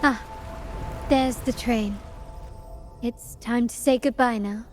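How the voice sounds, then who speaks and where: A poised, cryptic stranger. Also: female voice, fairly high pitch, steady tone, a little mellow. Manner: measured, elegant, teasing. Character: poised, enigmatic adult woman, knowing and cryptic. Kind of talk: game cutscene voice acting. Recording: music score behind.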